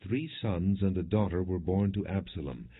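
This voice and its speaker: Low husky guy narrating bible audiobook, formal and measured, thin phone-like muffled sound. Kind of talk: scripted scripture narration, non-dramatized reading.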